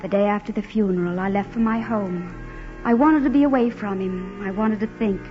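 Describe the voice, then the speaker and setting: A woman's voice: medium pitch, moderate pace, music in the background, natural voice. Woman acting a earnest young gothic heroine, muffled. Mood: reflective, troubled. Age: young adult. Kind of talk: 1940s radio acting, dramatic narration and dialogue. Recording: muffled old radio broadcast.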